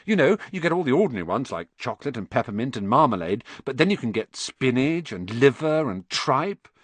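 A man's voice: medium pitch, big pitch swings, brisk, no room sound. A man voicing a blunt, cheeky young schoolboy with an offhand way of talking.

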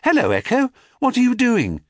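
A man's voice: extremely high pitch, huge pitch swings, normal speed, no room sound, studio quality, voice pushed up, heavily acted. A man voicing a cheerful young nymph sister who teases playfully. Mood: cheery teasing.

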